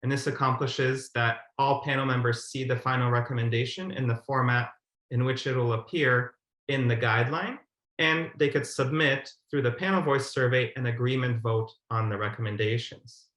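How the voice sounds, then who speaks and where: A man's voice: medium pitch, steady tone, normal speed, a bit bright. A man giving a formal, methodical explanatory presentation as a webinar presenter over a video call. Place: indoor room, on a video call. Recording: roomy, echoey webinar audio.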